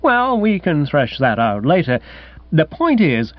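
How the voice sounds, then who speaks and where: Man playing a high-pitched, excitable young English gent. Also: unhurried, no echo, highs cut off. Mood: breezy indignation. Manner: chirpy, indignant, old-fashioned slangy. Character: excitable, breezy young upper-class English gentleman. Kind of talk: comic audiobook character acting.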